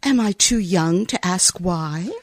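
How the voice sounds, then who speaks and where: Woman playing a husky, teasing femme fatale. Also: lowish voice, takes it slowly, dry recording, clean studio sound. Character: sultry, teasing femme fatale, cool and flirtatious. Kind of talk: old-time radio drama acting.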